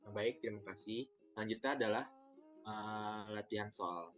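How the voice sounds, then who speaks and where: Man, rapid student presentation, lowish voice, explanatory, dull lo-fi sound. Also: a bit bright, soft background music. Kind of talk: presentation walking through quiz answers.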